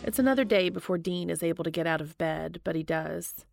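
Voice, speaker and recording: A woman with a slightly low voice reads fiction aloud as a narrator. The recording is dry and close, with faint music playing behind her storytelling. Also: brisk, creaky, darkish tone, no room sound. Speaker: fan fiction podcast reader. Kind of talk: audiobook-style narration of a story.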